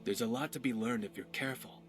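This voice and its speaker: A man's voice: medium pitch, quick pace, lightly acted. Man acting a wry pirate adventurer, faint music behind. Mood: wry, persuasive. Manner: wry, conversational, philosophical. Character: roguish, witty pirate adventurer companion, male. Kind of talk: video game companion dialogue.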